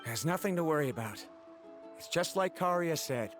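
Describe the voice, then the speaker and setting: An actor playing an earnest, awkward scholar in a video game, speaking formally and a little hesitantly. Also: male voice, on the high side, lively intonation, normal speed, over music, lightly acted. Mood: resolved, awkward.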